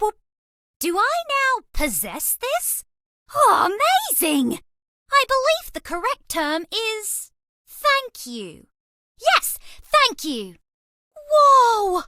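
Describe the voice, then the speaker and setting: Very squeaky, bubbly alien mouse girl. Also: pushed and bright, no room sound, studio quality, full character voice. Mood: awed, grateful. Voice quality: squeaky, girlish.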